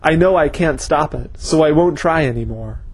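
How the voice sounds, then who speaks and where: A man voicing a cornered old male villain who begs desperately for his life, with a husky voice. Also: a little high, moderate pace, no echo, lightly acted. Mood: pleading. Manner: begging, desperate.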